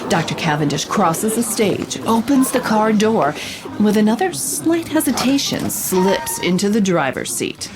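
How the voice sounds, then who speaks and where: An actress playing an investigative reporter, narrating a scripted audio drama with measured, descriptive storytelling. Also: female voice, mid-range voice, very animated, music in the background. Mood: intrigued, dramatic. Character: female reporter narrating her investigative holocast.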